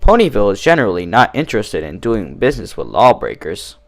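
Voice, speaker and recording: Man playing a smug, measured pony bureaucrat. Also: on the low side, wildly swooping pitch, vocal fry, heavily acted. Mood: smug, officious. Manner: formal, politely condescending, measured. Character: officious, smug male pony bureaucrat behind a desk. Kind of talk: cartoon fan fiction character reading.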